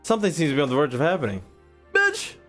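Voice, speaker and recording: Animated, slangy gaming streamer. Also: medium pitch, fast, faint music behind. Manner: slangy, profane, joking reactions. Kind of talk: casual gaming livestream commentary.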